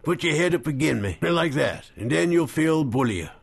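Storybook part: worn-out frontier man, voiced by a male reader, gravelly and husky, gentle, folksy backwoods talk. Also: a little high, lively intonation, moderate pace, full character voice. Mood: gentle, soothing. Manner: folksy drawled frontier dialect, muttering. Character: gaunt, dying frontier wanderer, gentle and weary man.

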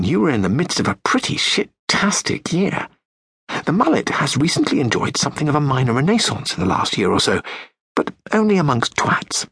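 A British man narrating an audiobook in a sardonic, profane, deadpan comic style. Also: breathy edge, dry recording. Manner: lively, wide-ranging pitch. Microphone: close studio mic.

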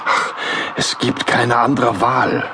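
A male actor playing an older church elder in a radio play, speaking gravely and deliberately. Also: mid-range voice, flat delivery, noisy background. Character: aging church elder, solemn and burdened.

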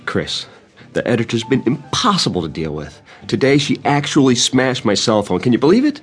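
A man's voice: mid-range voice, very animated, normal speed, lightly acted. An actor playing a harried, apologetic young man fed up with work, earnest and flustered, in an audio drama. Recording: faint music behind.

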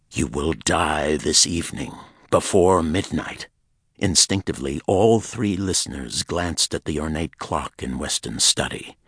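A man with a low-pitched voice narrates a pulp mystery audiobook. His tone has a husky, slightly rough edge, and he delivers the prose as scripted storytelling. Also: no echo, clean studio sound.

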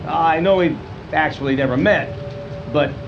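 An actor playing a friendly, bumbling neighborhood grocer in a radio drama, earnest and concerned, chatty but hesitant and stammering. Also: male voice, on the high side, normal speed, highs cut off, background noise, close to an everyday voice.

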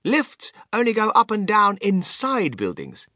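A man voicing an exuberant eccentric gentleman inventor in a storybook, theatrical, emphatic and breezily unbothered. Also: sits high, wildly swooping pitch, a little slow, no room sound, clean studio sound, pitched above the performer's own voice, full character voice. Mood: breezy, grand. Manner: theatrical, emphatic, courteously dismissive.

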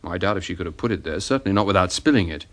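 A shrewd English private detective in a radio play. His voice sits low and he speaks with measured authority, laying out his reasoning with composure. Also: animated. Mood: calm, reasoning. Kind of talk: British radio drama acting.

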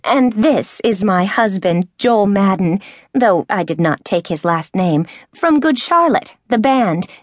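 A woman's voice: medium pitch, lively intonation, takes it slowly, no room sound, clean studio sound. A woman voicing a gushing, chattering short woman meeting her childhood friend, in a storybook reading. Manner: effusive, chattering.

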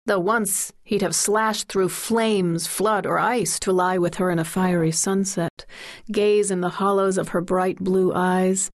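A woman narrating a literary story in verse as an audiobook, with measured, dramatic recitation. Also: medium pitch.

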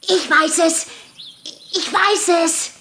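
A performer voicing an excitable young wild boar in a children's storybook, with exaggerated, drawn-out delivery. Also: very high-pitched, creaky, a little hiss or hum, full character voice. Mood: smug, eager. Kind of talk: children's storybook character acting.

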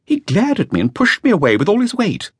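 A man's voice: high voice, huge pitch swings, a little fast, husky, no room sound, pitched above the performer's own voice, exaggerated voice. A man voicing a nervous, earnest young male flautist telling what he witnessed. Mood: anxious. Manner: anxious, breathless, earnest.

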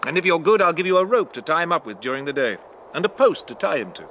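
Bright, animated stranded pilot. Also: male voice, on the high side, quick pace, a little hiss or hum, full character voice. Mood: coaxing. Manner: explaining, mildly exasperated. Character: stranded male pilot, exasperated but kindly. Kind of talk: radio drama acting.

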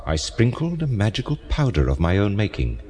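A solemn renaissance seer in a radio play, formal and oracular, swooping delivery, big cavernous reverb. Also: male voice, on the low side, wildly swooping pitch, fairly quick, darkish tone. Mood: grim, vivid. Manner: grave, oracular, formal archaic phrasing. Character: solemn French Renaissance prophet and physician recounting his life. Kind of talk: dramatised audiobook monologue, theatrical declamation.

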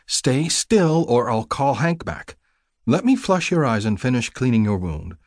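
Man voicing a caring, firm nurse, raised pitch. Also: very animated, unhurried, a little mellow, dry recording, studio quality. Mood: chiding, teasing. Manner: soothing yet scolding. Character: female nurse, caring, firm, gently bossy.

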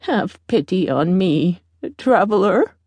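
A woman voicing a wise old beggar woman in a storybook tale, speaking in a deliberate, imploring and instructive manner. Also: on the low side, expressive, darkish tone, breathy edge, no room sound, exaggerated voice. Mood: pleading.

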